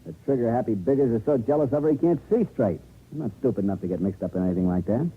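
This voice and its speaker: A man's voice: mid-range voice, animated, racing, slightly rough. An American man playing a brash, slangy, money-minded promoter in an old-time radio drama. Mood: defensive. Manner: slangy, breezy. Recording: vintage narrow-band radio, faint noise.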